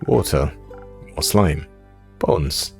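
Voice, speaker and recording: A man playing a patient, dry-humoured tavern keeper in a visual novel, speaking in a measured, deadpan way. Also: a bass voice, gravelly, voice pushed down, heavily acted. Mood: deadpan, probing. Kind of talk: visual novel character read-aloud. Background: music.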